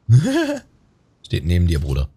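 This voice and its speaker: A man's voice: sits low, wildly swooping pitch, slow pace, dark tone, husky. A man who is a native German speaker, giving casual gameplay commentary as a streamer. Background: game music.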